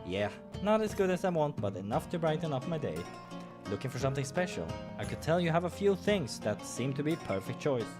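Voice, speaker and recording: A man voicing a cheerful, flirty female peddler in a fantasy game, with an excited salesperson manner. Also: fairly high pitch, brisk. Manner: excited, sing-song salesperson. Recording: background music.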